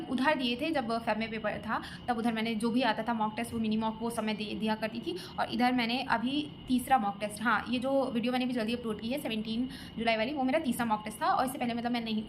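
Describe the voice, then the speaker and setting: A young woman from Lucknow talks through viewer comments in a casual, chatty vlog. She hesitates often, with fillers and restarts, in a voice that sits a little high. Steady background noise runs under the recording. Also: fast talker. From Lucknow, India. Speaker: exam aspirant vlogger.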